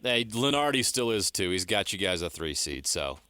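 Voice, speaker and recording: A man's voice: sits low, sing-song, moderate pace, sharp tone, smooth. A man co-hosting a sports radio show, chatting casually with a teasing manner.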